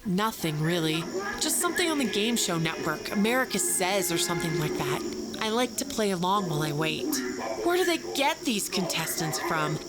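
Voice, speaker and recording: A woman's voice: on the low side, sing-song, moderate pace. An actress playing an anxious woman who recounts a frightening night in detail in an audio drama, with a snippy edge. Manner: detailed, snippy, increasingly fearful recollection. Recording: prominent music under the voice. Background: music.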